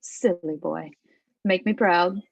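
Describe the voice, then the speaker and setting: A woman's voice: fairly low pitch, slow pace, creaky, no room sound, heavily acted. A woman playing an arrogant aristocratic mother in her sixties, speaking with polished menace in a comedy table read. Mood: coolly threatening. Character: arrogant aristocratic sixty-ish mother, steely, conniving, falsely polite.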